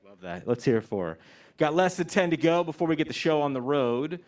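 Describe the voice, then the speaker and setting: A man hosting a live-stream pre-ceremony show outdoors, interviewing graduates with energetic, joking questions he often repeats. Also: on the high side, a little fast.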